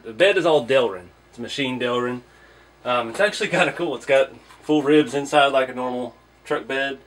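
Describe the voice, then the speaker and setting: A man's voice: medium pitch, a little hiss or hum. A man giving an informal hands-on first look as a hobby reviewer, thinking aloud as he goes. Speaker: hobby vlogger reviewing an RC truck.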